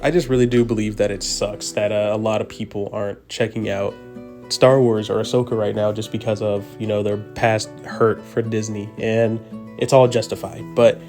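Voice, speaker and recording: Hoarse, sick man pleading his opinion. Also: on the low side, little pitch movement, moderate pace, a little mellow, faint music behind. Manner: pleading, repetitive emphasis. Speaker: YouTuber giving an opinion. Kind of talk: opinion commentary over a video.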